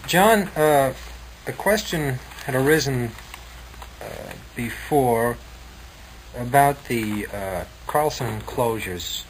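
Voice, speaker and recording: A male radio host puts questions in a polite, slightly formal, conversational way. His pitch is average, and the 1960s recording has a light layer of noise. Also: animated, takes it slowly, poor audio.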